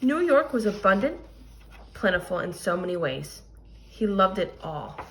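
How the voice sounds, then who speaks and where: Lady teacher doing an expressive storybook read-aloud for kids, really lively pitch, stresses key words. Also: normal speed, a little hiss or hum, rough recording. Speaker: teacher reading a picture book aloud.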